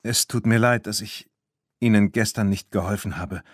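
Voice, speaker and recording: A man voicing a hesitant, remorseful old cattle herder of few words in an audiobook, speaking haltingly and reluctantly. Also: lowish voice, slightly rough, no room sound, clean studio sound. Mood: apologetic. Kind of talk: audiobook character voice.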